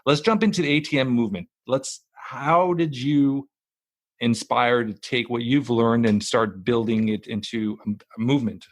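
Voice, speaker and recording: A man's voice: mid-range voice, moderate pace, dry recording. A middle-aged man hosting a podcast, talking bluntly and emphatically and swearing casually. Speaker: podcast host. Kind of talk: casual interview talk.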